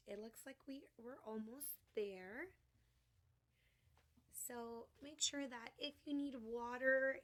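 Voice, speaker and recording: A woman teaching an online class to small children, her voice going hoarse, with a sing-song, encouraging manner. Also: a little high. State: losing her voice. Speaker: kindergarten teacher.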